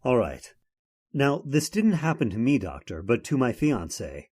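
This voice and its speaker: A man's voice: medium pitch, very animated, measured pace, a little mellow. An actor playing an earnest, worried man seeking help, formal and polite in old-time radio horror style. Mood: earnest, concerned.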